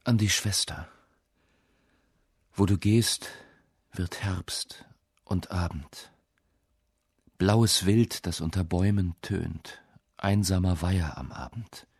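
A man's voice: low voice, takes it slowly, long pauses, husky, no echo, clean studio sound. A man reciting scripted quotations and poems for a radio essay.

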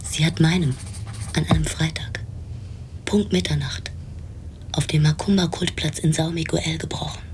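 Radio play role: actress as confident, superstitious rival in love, low voice, insistent, rumbling noise behind. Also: female voice, steady tone, normal speed, pauses often, darkish tone, natural voice. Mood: conspiratorial. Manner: insistent, assertive. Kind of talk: radio drama acting.

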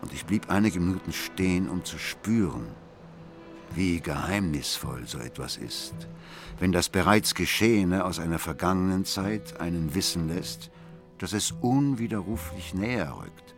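An actor playing a brooding, obsessive husband who narrates a radio drama with cold, deliberate, intense precision. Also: male voice, low voice, lively intonation. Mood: brooding, controlled. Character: brooding, obsessive husband coldly recounting his grim plan. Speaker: first-person narrator of an audio drama. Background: music.

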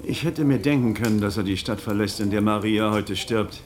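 A man acts out a shrewd magistrate in a radio drama, speaking in a fairly low voice. He builds his case with theatrical, rhetorical flourishes. His manner is insinuating, mocking and relentless. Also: a little fast, a little mellow, close to an everyday voice. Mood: musing, sardonic. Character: shrewd male magistrate methodically cornering a suspect, mocking and relentless. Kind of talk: German radio play acting.

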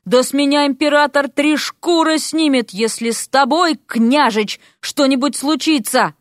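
A woman's voice: sits high, sing-song, fairly quick, fairly bright tone, no room sound, clean studio sound. A woman voicing a fed-up, blunt male undercover bodyguard in an audio story, exasperated and emphatic.

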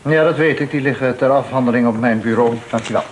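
A man playing a hurried, businesslike office official in a radio play. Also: medium pitch, noisy background, close to an everyday voice. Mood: brisk. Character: brisk, busy male office official. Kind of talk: Dutch radio play acting.

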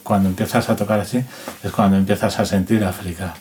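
A man's voice: low-pitched, fairly even intonation, fast, darkish tone, a little hiss or hum. A man talking informally, explaining and telling anecdotes like an interviewed musician. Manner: informal, demonstrates rhythms with spoken syllables. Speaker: interviewed musician and instrument collector. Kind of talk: casual explanatory talk with anecdotes.

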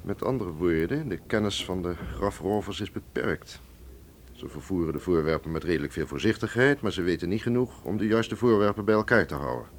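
An actor in a radio drama playing a shrewd, suave museum curator, measured and condescending. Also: male voice, low voice, lively intonation, slight background noise. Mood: insinuating, menacing. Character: shrewd, suave Russian male museum curator, quietly threatening.

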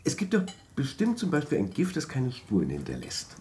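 An actor in a German radio drama playing a smooth-talking, evasive husband with a sly charm. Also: male voice, medium pitch, wildly swooping pitch, a little fast, warm tone, a little hiss or hum. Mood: curious, charming.

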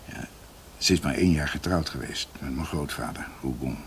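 Bookish older uncle, deep voice, hesitant storyteller, radio drama, some background noise. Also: male voice. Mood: musing, explaining. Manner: hesitant, musing, halting. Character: older male doctor, bookish uncle telling family history.

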